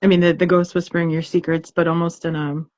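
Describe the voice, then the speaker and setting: A woman's voice: fairly low pitch, little pitch movement, fast, a little mellow, dry recording, muffled recording. A woman co-hosting a poetry reading, talking casually and expressively as she searches for her words.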